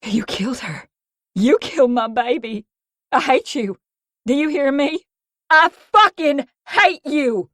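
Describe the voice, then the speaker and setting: A woman's voice: high voice, takes it slowly, a bit bright, no room sound, studio quality, voice pushed up. A woman voicing a distraught young woman in an audiobook, crying and screaming in anguish. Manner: hysterical, crying, shrieking. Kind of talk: audiobook dialogue.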